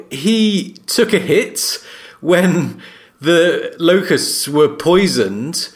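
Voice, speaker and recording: A man co-hosting a podcast discussion, musing in a measured way and posing questions. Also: fairly high pitch. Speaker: podcast co-host.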